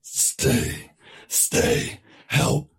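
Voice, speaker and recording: A man reading a bewildered human character and a croaking toad chorus, with a gravelly voice. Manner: halting, stumbles out of character.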